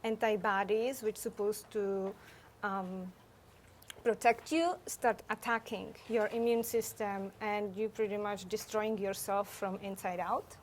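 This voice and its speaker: A middle-aged woman from Czechoslovakia with a Czech accent, talking as a guest in a casual interview, halting with fillers. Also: mid-range voice, fairly even intonation, slight background noise. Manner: halting, with many fillers and frequent laughter. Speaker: guest, photographer.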